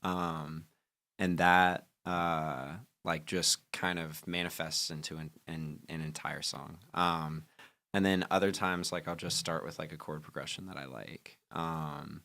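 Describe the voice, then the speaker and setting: A young man, a band's songwriter, chatting as a podcast guest, full of fillers and lively when retelling stories. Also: a bass voice, unhurried, gravelly. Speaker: band singer-songwriter and guest. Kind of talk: casual podcast interview.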